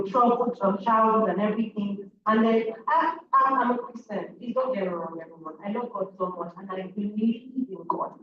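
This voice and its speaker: A woman with a Nigerian accent, a native Igbo speaker, giving an earnest, emphatic talk as a speaker at a public event. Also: medium pitch. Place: large event hall. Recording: echoey hall, distant muffled mic, audience noise.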